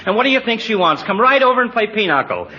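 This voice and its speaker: An actor playing a garrulous, oblivious small-town barber in 1940s radio comedy style, rambling along. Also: male voice, sits high, very animated, fast talker. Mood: chatty, self-satisfied. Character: chatty, oblivious small-town barber who never stops talking. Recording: muffled 1940s radio recording.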